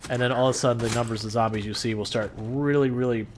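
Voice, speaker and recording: A man streaming a game live, talking through his play in a calm, soothing, laid-back way. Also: medium pitch, fast talker, background noise. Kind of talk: casual live stream gameplay commentary.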